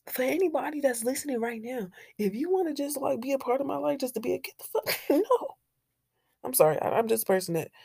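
A woman's voice: lowish voice, gravelly, mellow, breathy edge, dry recording, clean studio sound. A young woman hosting a solo podcast, talking in a candid, blunt way with plenty of swearing and laughter. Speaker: podcast host. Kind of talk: casual solo podcast monologue.

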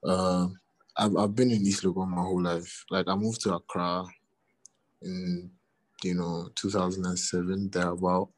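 A man in his twenties with a Ghanaian accent, a musician chatting in an interview, relaxed and often repeating himself. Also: low-pitched, normal speed, no room sound. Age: 20s. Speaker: musician guest. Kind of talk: casual interview chat.

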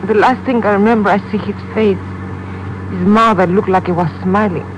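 An actress playing an injured, frightened young woman with a Mexican accent in a 1950s radio drama, speaking in a halting, pained, weary way. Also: mid-range voice, fairly even intonation, natural voice. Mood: shaken, halting. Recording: muffled old radio recording, loud engine noise.

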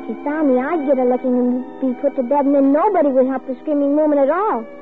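Woman as an earnest, high-voiced little girl. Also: fast talker, soft background music, close to an everyday voice. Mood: anxious storytelling. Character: earnest, frightened, stubborn young girl. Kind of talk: old-time radio drama acting. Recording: tinny, noisy old radio recording.

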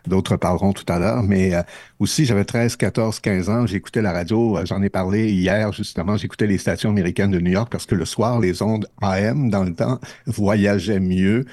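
A radio host with a Québécois accent spins a loose, unhurried story, pausing often as he goes. His voice sits low and husky, with a dark, mellow tone. The sound is close and dry, with no room around it. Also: no room sound. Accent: Québécois French. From Matane, Quebec. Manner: relaxed, rambling storytelling with many pauses. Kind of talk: casual on-air chat, telling anecdotes. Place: radio studio.

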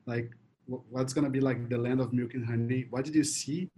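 A male co-host poses curious, loosely phrased questions in a mid-pitched voice. A faint ambient noise sits under his speech. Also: fairly even intonation, fairly quick, dark tone. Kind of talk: casual interview chat.

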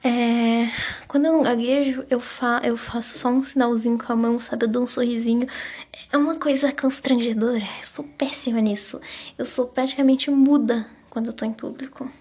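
A young Brazilian woman with a fairly high voice that has a husky, breathy edge. She talks casually as a solo podcast host, rambling in a self-conscious way and tripping over her words. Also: steady tone, moderate pace, darkish tone. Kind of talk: casual confessional solo chat.

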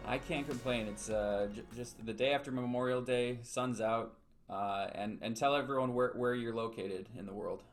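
Male podcast host, mid-pitched, music bed underneath, stumbles and restarts questions. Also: moderate pace. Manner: restarts and repeats words in questions. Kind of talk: scripted intro then casual interview.

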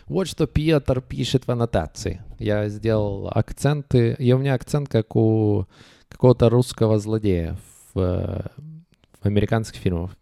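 A man's voice: mid-range voice, creaky, dark tone, dry recording, studio quality. A man hosting a comedy podcast, announcing contest prizes in a playful, mock-grand way and reading out notes with asides. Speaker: podcast host.

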